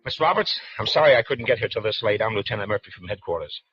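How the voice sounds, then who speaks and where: A man's voice: on the low side, animated, fast talker, soft background music, lightly acted. A folksy police lieutenant, played by an actor in a 1940s radio mystery, hesitant and amused. His voice moves freely up and down. The broadcast sound is dull and lo-fi. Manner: folksy, hesitant, with throat-clearing.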